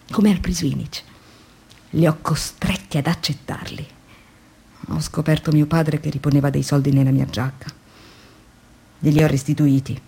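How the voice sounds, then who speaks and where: A woman's voice: lowish voice, vocal fry, darkish tone, breathy edge, full character voice. An actress playing a weary, demoralized young woman factory worker in an introspective, theatrical radio drama monologue. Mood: reflective. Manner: introspective, theatrical, shifting between murmurs and emphatic phrases.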